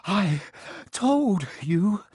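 A man's voice: fairly high pitch, animated, extremely slow, fairly bright tone, breathy edge, no room sound, clean studio sound, heavily acted. A man voicing a bossy, exasperated young schoolgirl for an audiobook, scolding and insistent. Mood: breathless, reproachful. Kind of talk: audiobook character voice.

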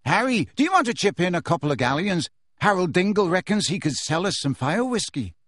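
Male narrator as a chummy teen schoolboy, lifted pitch, storybook. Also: sing-song, a little fast, fairly bright tone, no echo, studio quality, exaggerated voice. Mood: jovial. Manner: jovial, chummy. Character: cheerful teenage schoolboy, jovial.

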